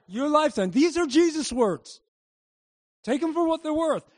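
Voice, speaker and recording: Male pastor, high voice, emphatic preacher who works the congregation with call-and-response, dry close sound. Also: lively intonation, moderate pace, a little mellow, no echo. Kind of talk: sermon. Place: church service before a congregation.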